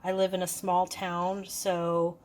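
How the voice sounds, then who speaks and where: A woman's voice: on the low side, completely flat delivery, takes it slowly, darkish tone. A woman giving a casual, hands-on product review, explaining things in a hesitant, unscripted way. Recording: clearly noisy background.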